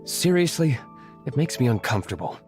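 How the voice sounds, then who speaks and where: A man as an aloof, deadpan vigilante. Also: medium pitch, brisk, lightly acted. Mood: dry disdain. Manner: deadpan, formal, disdainful. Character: aloof, refined male vigilante, dry and unamused. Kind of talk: video game character acting. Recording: plucked harp music behind the voice.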